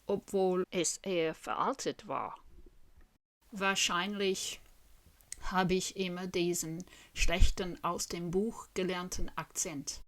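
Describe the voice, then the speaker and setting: A woman in her fifties from Western Australia, with an Australian accent, talks to camera in a language she learned later in life. She speaks slowly and deliberately, in an earnest, friendly way, and keeps asking her viewers questions. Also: mid-range voice. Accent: Australian English. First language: English. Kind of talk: slow, careful talk to camera in a learned language.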